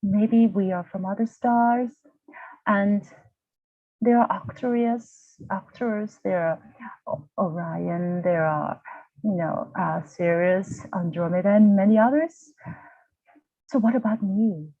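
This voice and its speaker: Slow, hesitant woman on a tinny call. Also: mid-range voice, no echo. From Vietnam. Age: 40s. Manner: hesitant, searching for words, frequent restarts. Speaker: guest, university lecturer. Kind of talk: personal story in interview. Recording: tinny, dry video-call audio.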